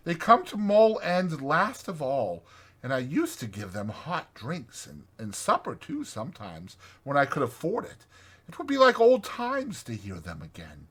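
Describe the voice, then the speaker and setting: A gloomy but kindly little mole from a storybook, played by a man. The voice is pitched well up and swings widely, with a plaintive, self-pitying moan. It has a bright, rough edge. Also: heavily acted. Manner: moaning, plaintive.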